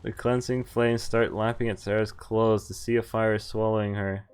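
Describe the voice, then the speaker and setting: A man's voice: on the low side, level tone, moderate pace, clean tone. A man streaming a game, reading visual novel text aloud and commenting in a casual, sweary way. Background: faint game music.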